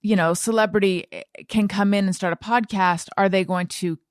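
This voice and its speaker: A woman hosting a podcast, talking casually and haltingly as she thinks aloud and repeats words. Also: mid-range voice, steady tone, no room sound, studio quality. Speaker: podcast host and interviewer. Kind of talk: casual conversational podcast talk.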